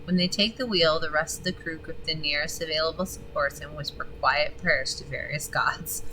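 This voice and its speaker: A woman streaming a game, chatting casually with viewers and reading game text aloud; her voice is gravelly and she swears freely. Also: low-pitched, fairly even intonation, moderate pace, background noise. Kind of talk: casual gaming stream commentary.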